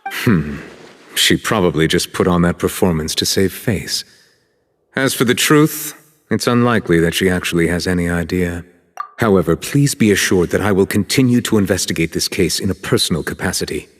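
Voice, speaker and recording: A man playing a stern, composed chief justice in a video game, speaking in a formal, measured, courteous way. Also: low voice, expressive. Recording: long hall reverb.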